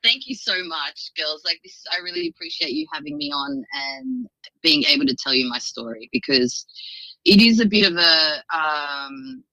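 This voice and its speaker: A woman from Australia, a podcast guest, telling her personal story in a casual, halting way with easy laughs. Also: a little high, very animated, creaky, bright, forceful tone, no room sound, poor audio.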